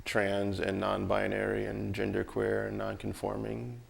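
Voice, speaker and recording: A man's voice: lowish voice, monotone, a little slow, gravelly. A man interviewing someone in a casual, conversational way, often chiming in with affirmations and repeating his words.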